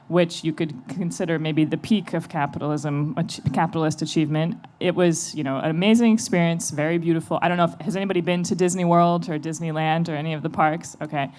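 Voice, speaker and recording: American woman on a panel, low voice, chatty and kinda self-deprecating. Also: steady tone, a little fast, darkish tone. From United States. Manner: chatty, self-deprecating, laughs between thoughts. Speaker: panelist, venture investor. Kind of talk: panel discussion.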